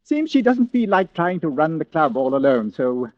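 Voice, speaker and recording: Suave, lilting British radio villain. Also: male voice, a little high, sing-song, normal speed, dry recording. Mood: smooth, polite. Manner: affected, chuckling politeness, effusive. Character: suave, genteel, jovial English club-buyer villain. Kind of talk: old-time radio thriller acting. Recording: dull, lo-fi vintage radio recording.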